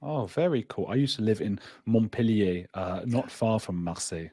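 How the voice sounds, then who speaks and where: A man's voice: on the low side, expressive, moderate pace, mellow, dry recording. A man hosting a remote interview, upbeat and chatty, sometimes stumbling over his first words. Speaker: podcast host. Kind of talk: interviewer chat.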